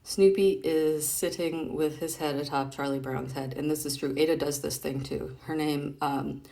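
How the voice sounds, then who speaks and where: A woman's voice: sits low, fairly even intonation, moderate pace, vocal fry, dark tone. A woman hosting a casual read-aloud of comic strips, chatting playfully and expressively as she explains things directly to a child.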